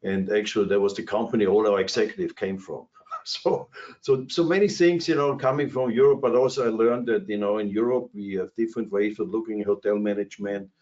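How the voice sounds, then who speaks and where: An older man with an Austrian accent tells his career story on a video call. His voice sits low, and he rambles with plenty of fillers and restarts. The call audio is dull and lo-fi. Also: a little fast, a little mellow. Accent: Austrian German. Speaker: cruise industry executive. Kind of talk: webinar panel talk. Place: his office.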